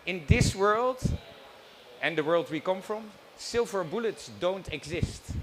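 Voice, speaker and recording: Man on a panel, emphatic and declamatory, fairly high voice, faint noise behind. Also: lively intonation, a little slow, a bit bright. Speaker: panel speaker. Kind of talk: conference panel closing remarks.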